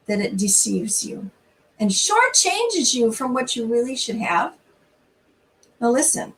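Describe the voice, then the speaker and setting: A middle-aged woman hosting a church storytime, talking informally and reading aloud in an expressive, conversational way straight to her listeners, recorded slightly away from the mic. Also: a little high, distant mic, poor audio.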